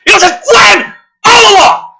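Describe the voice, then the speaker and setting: A man doing a dramatic script reading with sarcastic, sweary commentary, swinging between hushed narration and exasperated ranting. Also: very high voice, normal speed, gravelly, background noise. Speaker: host of a gaming and commentary channel.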